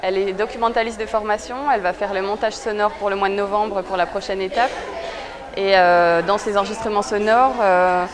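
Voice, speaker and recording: A woman's voice: mid-range voice, steady tone, fairly quick. A woman of thirty explaining things in a casual interview, with frequent hesitations. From Besançon region, eastern France. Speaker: exhibition creator being interviewed. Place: indoor exhibition hall. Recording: busy crowd noise behind.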